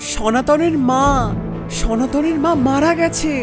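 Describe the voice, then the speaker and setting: Lady doing a high pitched panicky village aunt, wailing, cartoon, music behind. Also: measured pace. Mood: panicked. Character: distraught village woman crying out bad news. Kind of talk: dramatized cartoon dialogue.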